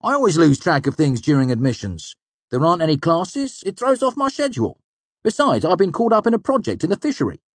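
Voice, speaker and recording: A man acts out an eccentric older student from an audiobook tale, blunt and wry. The voice is raised well above a low register and swings about animatedly, grumbling and teasing, in a dry close sound. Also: big pitch swings, fairly quick, slightly rough, no echo. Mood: weary, conciliatory.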